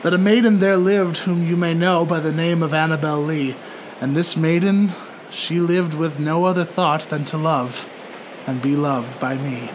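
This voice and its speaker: A man narrating poetry in a theatrical, solemn and measured dramatic recitation. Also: fairly high pitch, steady tone, background noise. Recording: loud music under the voice. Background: music.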